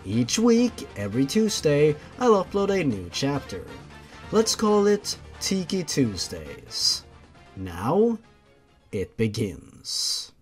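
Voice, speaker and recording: A man's voice: fairly high pitch, wildly swooping pitch, takes it slowly, faint music behind. Theatrical male storyteller with swooping intonation. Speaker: host of a weekly fan fiction reading series. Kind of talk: dramatic reading of fan fiction over gameplay.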